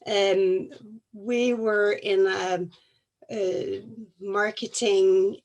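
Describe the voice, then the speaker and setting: A middle-aged woman with a Danish accent, giving a personal talk in a halting, reflective way with pauses mid-phrase. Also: medium pitch, little pitch movement, a little mellow, muffled recording. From Denmark. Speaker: occupational therapist and craniosacral therapist.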